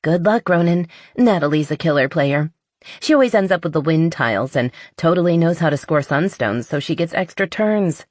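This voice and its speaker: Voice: medium pitch, animated, moderate pace, dry recording, studio quality, voice pushed up, close to an everyday voice. A narrator voicing a friendly, chatty woman who sounds amused, in a romance audiobook. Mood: amused warning.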